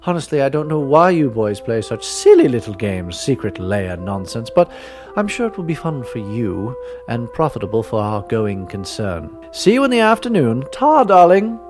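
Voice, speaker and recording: A man voicing a formidable, imperious older female secretary who scolds briskly in a high, put-on voice. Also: sing-song, over music, natural voice.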